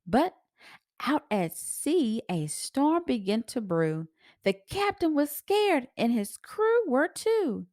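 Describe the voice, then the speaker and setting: A woman reading a children's story aloud in an expressive, sing-song rhyming delivery. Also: high-pitched, slow pace, no room sound, studio quality.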